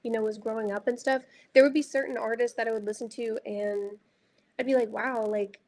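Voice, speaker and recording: Young woman, light high reflective voice. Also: steady tone, brisk, darkish tone. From Dallas, Texas. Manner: reflective, heavy use of filler words. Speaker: music artist guest. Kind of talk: casual interview chat.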